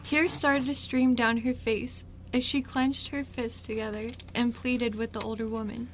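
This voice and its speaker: A young woman with a fairly high voice narrates a story in an amateur scripted style. Faint noise sits behind her reading. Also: level tone, a little slow.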